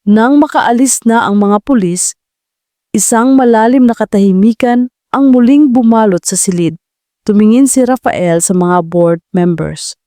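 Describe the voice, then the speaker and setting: A woman's voice: a little high, unhurried, dark tone, no echo, clean studio sound. A woman storyteller reading a scripted audio drama in a dramatic narrating style.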